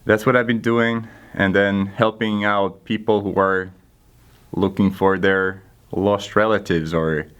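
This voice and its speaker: A man's voice: lowish voice, little pitch movement, a little slow, a bit bright, clean tone. A young man from Finland with a Finnish accent, a native Finnish speaker, answering interview questions casually with dry, self-deprecating humor. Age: young adult. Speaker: guest intern.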